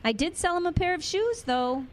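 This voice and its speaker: A woman's voice: extremely high pitch, expressive, moderate pace. A woman playing a chatty small-town shopkeeper in a radio drama.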